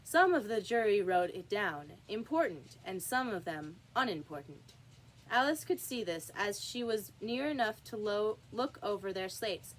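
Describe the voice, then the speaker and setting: A woman's voice: mid-range voice, expressive, unhurried, low-quality recording. A woman reading a children's classic aloud as a storyteller, with emphatic, acted-out delivery. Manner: emphatic, acts out character dialogue. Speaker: storybook reader.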